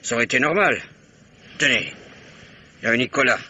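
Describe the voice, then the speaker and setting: Sly old local, very bright voice, grumbling and dodging questions, old radio play. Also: male voice, medium pitch, animated, a little fast, slight background noise. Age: older. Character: old evasive local man, blustering and sly. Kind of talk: 1950s radio drama acting.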